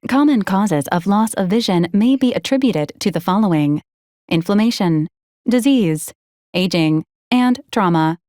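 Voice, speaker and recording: A woman playing a polite, literal-minded robot companion in a video game, speaking in a formal, measured, matter-of-fact deadpan. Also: mid-range voice, no room sound, studio quality, close to an everyday voice. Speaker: robot assistant advising a commander. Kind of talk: scripted video game character lines.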